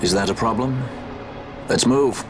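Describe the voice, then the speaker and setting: An actor playing a suave, confident adventurer in a fantasy game, with a dry, nonchalant delivery. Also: male voice, medium pitch, a little slow, lightly acted. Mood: wry, confident. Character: suave, wry male adventurer, casually confident. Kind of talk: scripted fantasy game acting. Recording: music behind the voice.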